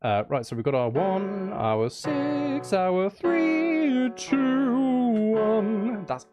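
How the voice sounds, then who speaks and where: A man's voice: high-pitched, sing-song, very slow, dull audio. A man chatting casually on a live stream as he makes music, geeky and playful, thinking aloud in a rambling way.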